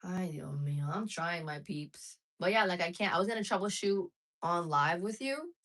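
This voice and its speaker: A woman's voice: on the low side, moderate pace, fairly bright tone. A woman live streaming casually to her viewers, chatting frankly and reading out chat messages. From United States.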